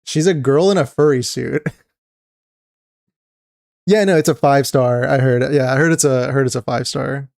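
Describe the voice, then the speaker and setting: Excited male streamer reacting. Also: mid-range voice, normal speed, creaky, long pauses, no room sound. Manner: excited, chatty, many restarts. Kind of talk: live reaction commentary.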